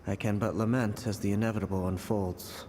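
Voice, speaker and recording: A man's voice: fairly low pitch, fairly even intonation, a little fast, a little mellow, slight background noise, natural voice. A man voicing a grave, fatalistic visionary in a video game, solemn, formal and mournful. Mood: solemn lament. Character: grave, fatalistic male visionary lamenting the inevitable.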